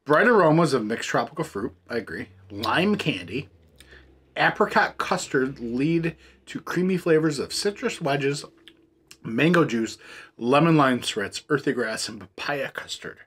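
A man's voice: mid-range voice, lively intonation, a little slow, fairly bright tone, husky. A man in his thirties co-hosting a beer review, chatting loosely at a table indoors and piling up "like"s as he talks. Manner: rambling, loose, says "like" a lot. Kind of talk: casual chat. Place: indoor room with a tasting table.

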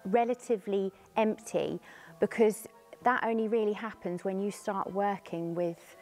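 Mid-pitched woman talking in an interview. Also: measured pace, darkish tone. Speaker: pantomime actress. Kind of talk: casual interview chat. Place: indoor theatre bar room. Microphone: lapel mic. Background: faint music.

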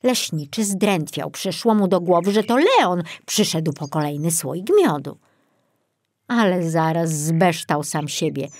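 A woman narrating a children's storybook in an expressive, deliberate style. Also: lowish voice. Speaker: audiobook narrator.

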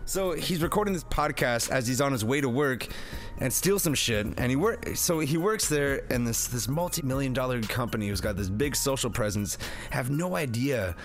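A man's voice: mid-range voice, animated, normal speed. A man hosting a comedic, part-scripted movie review in a slangy, sweary, wisecracking way. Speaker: review show host. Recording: music under the voice.

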